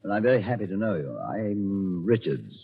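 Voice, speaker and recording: A man's voice: deep voice, big pitch swings, normal speed, vocal fry, slightly rough, heavily acted. A man playing a polite but evasive British stranger, courteous and genteel, in 1940s radio acting. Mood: smooth, evasive. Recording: muffled old radio broadcast.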